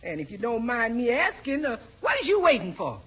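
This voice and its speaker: A kindly, forthright housekeeper in an old radio comedy. Her folksy voice is very husky and lively in pitch, on a dull vintage recording. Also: brisk. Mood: frank, reassuring. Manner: folksy, nonstandard grammar. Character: kindly, forthright housekeeper, deferential yet frank. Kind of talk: 1940s radio comedy acting.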